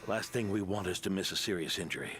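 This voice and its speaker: An actor playing a weary, caring aging mentor in a video game. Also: male voice, deep voice, fast talker, a bit bright, a little hiss or hum. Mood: tired, concerned.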